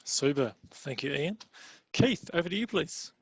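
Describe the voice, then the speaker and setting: A man's voice: a little high, expressive, a little slow, vocal fry, a little hiss or hum. A man moderating an online panel webinar, giving friendly, practical instructions with the odd filler.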